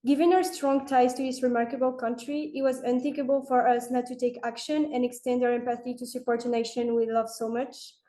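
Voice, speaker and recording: A teenage girl from France reads a prepared student presentation in a measured, deliberate way. Her voice is slightly high but mellow and soft-edged. The room around her has a noticeable echo. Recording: roomy echo.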